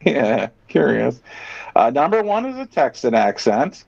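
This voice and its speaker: A man hosts a casual podcast chat with a very animated, swooping delivery. He is sardonic and opinionated and swears freely as he reads things out and reacts. The sound is dull and lo-fi. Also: mid-range voice, a little slow, creaky. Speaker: podcast host. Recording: dull, muffled lo-fi audio.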